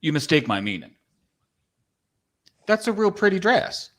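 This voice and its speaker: A man's voice: high-pitched, sing-song, unhurried, fairly bright tone, heavily acted. A man playing a folksy, tender, caring cowboy-like companion in a dramatic play reading. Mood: tender, encouraging.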